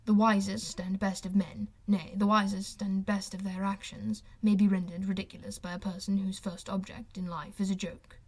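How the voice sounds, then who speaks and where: Woman as a proud, reserved gentleman. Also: medium pitch, level tone, breathy edge, lightly acted. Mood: grave. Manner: measured, formal, restrained. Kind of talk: audiobook character voice.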